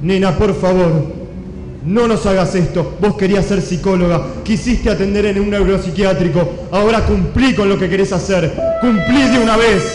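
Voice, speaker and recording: A man's voice: high voice, moderate pace, a little mellow, lightly acted. A man playing a put-upon, indulgent father who pleads resignedly in improvised comic radio theatre. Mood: pleading. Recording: echoey hall with music.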